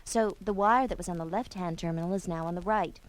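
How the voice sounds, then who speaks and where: A woman's voice: fairly low pitch, fairly quick, lightly acted. A woman voicing a quarrelsome female stereo speaker in a comedy record sketch, nagging and exasperated. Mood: instructive.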